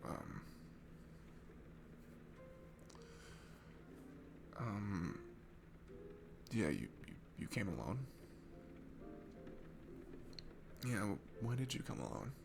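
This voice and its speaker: Casting: male actor for a sweet, bashful young man, lowish and gravelly, hesitant and stammering, intimate audio roleplay with music underneath. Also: sing-song, a little slow, background noise, full character voice. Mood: awkward, hesitant. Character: shy, sweet, nervous young man at a bar. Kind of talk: ASMR roleplay audio, intimate one-sided conversation. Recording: music behind the voice.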